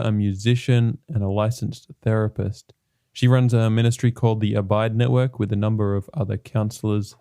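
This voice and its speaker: A man hosting a podcast, introducing his guest in a relaxed, friendly way. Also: lowish voice, smooth, dry recording, clean studio sound. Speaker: podcast host.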